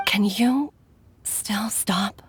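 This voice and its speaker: An actress playing a weary, candid woman physician in a video game, speaking in a measured, earnest and often breathy way. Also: medium pitch, a little hiss or hum. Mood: troubled, regretful. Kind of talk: video game voice acting, dramatic dialogue. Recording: background music under dialogue.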